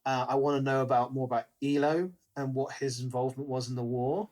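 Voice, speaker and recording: A man co-hosting a podcast in a casual book discussion, retelling things in an animated way and slipping into acted-out quotes. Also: medium pitch, fairly quick. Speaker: podcast co-host.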